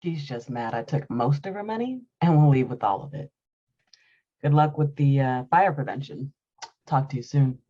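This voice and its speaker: A low sultry femme fatale, teasing n sure of herself, noir radio drama, bit dull sound. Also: female voice, expressive, normal speed. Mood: teasing, amused. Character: confident, flirtatious femme fatale pool player named Veronica.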